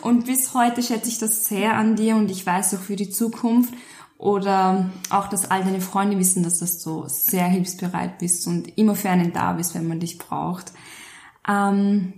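A woman's voice: mid-range voice, little pitch movement, unhurried, darkish tone, roomy sound, slight background noise. A woman, co-hosting a podcast in effusive casual chat. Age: 20s. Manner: effusive, gushing. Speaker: podcast co-host.

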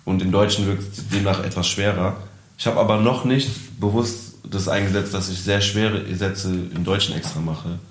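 A man's voice: deep voice, fairly even intonation, moderate pace. A man who is a musician guest, talking in a casual, reflective way and laughing easily. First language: German. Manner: reflective, laughs easily, mixes in English words. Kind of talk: casual interview chat. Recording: echoey room, faint noise.

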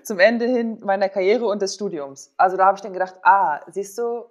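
A woman's voice: medium pitch, brisk. A woman in her thirties talking informally and candidly as an interview guest, thinking aloud as she tells personal stories. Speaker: interview guest, former athlete and sports psychologist. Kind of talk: casual personal storytelling in an interview.

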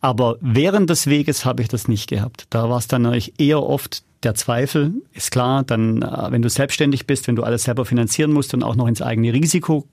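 A man's voice: mid-range voice, fairly quick, gravelly, hardly any pauses, darkish tone, no room sound, clean studio sound. A middle-aged man talking casually as a podcast guest, telling anecdotes in a candid, self-critical and colloquial way. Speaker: podcast guest, audio drama producer. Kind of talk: casual interview, anecdotal storytelling.

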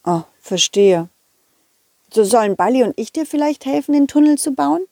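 A woman's voice: high-pitched, expressive, moderate pace, creaky, warm tone, no room sound, clean studio sound, exaggerated voice. A woman voicing a cheerful, loyal male dog who tells his own adventure to children in a chatty, giggly way. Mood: kind. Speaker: storyteller. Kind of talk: children's audio play narration.

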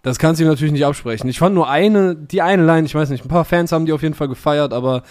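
A man's voice: fairly high pitch, fast, a little mellow. A man co-hosting a casual music podcast, joking and rambling with many fillers. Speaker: podcast co-host. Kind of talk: casual music review chat.

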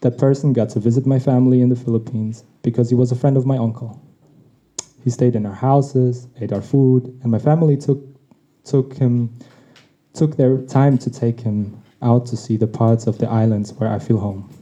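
Very mellow-voiced reflective man. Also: mid-range voice, fairly even intonation, normal speed. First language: Tagalog. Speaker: podcast host. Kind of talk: reading a personal essay. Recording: dull lo-fi recording.

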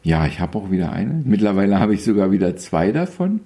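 A man in his fifties with a very low, mellow voice talks as a photographer in an interview. He rambles with wry, teasing humor and frequent hesitations. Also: very animated, fairly quick. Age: 50s. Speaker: photographer guest. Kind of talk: casual interview.

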